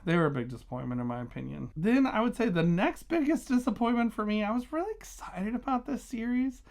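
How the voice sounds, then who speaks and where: A man's voice: high voice, animated, a little fast, vocal fry, darkish tone. A man hosting a casual book review vlog, talking conversationally and emphatically, stressing and repeating key words.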